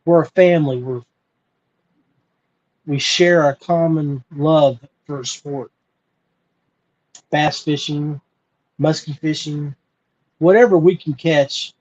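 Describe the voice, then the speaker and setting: An American man hosting a podcast, talking informally and earnestly with frequent pauses. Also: on the high side, a little mellow, lo-fi sound. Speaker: podcast host. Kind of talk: informal solo podcast talk.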